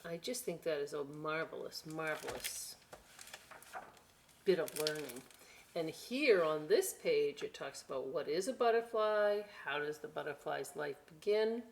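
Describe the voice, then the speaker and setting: A woman hosting a storytime for young children, reading expressively with drawn-out words and chatty, encouraging asides. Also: low voice, expressive, a little hiss or hum. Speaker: children's library storytime host.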